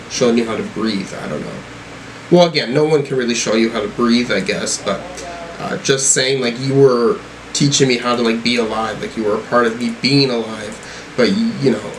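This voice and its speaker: A man's voice: mid-range voice, moderate pace, a little hiss or hum, rough recording. A man casually reviewing an album, reading lyrics and thinking aloud in a hesitant way as he works out what they mean. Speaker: music reaction video host.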